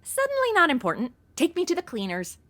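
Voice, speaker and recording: Sassy, high, animated ditz. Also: female voice, quick pace, full character voice. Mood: breezy. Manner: sassy, exaggerated modern slang delivery. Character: flippant, ditzy modern young woman, sassy and unfazed. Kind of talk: comedic audio drama acting.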